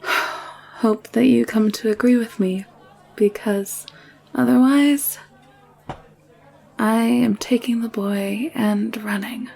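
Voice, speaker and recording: A woman playing a warm, headstrong, protective young woman in a scripted audio roleplay, coaxing and playful with an assured manner. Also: a little high, steady tone, takes it slowly, vocal fry, long pauses, exaggerated voice. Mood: earnest, determined.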